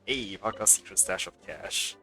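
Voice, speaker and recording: A man's voice: low voice, normal speed, bright, forceful tone, soft background music. A man doing a live game playthrough, reading dialogue aloud and adding casual offhand comments. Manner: casual offhand remarks mixed with read-out dialogue. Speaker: game streamer.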